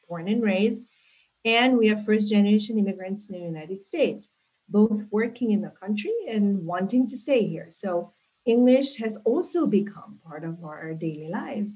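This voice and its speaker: A woman, a guest answering interview questions in a thoughtful, measured way with frequent restarts, heard over a video call. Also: medium pitch, husky. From Hungary. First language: Hungarian. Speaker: guest, linguistics professor. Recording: tinny phone-like call audio.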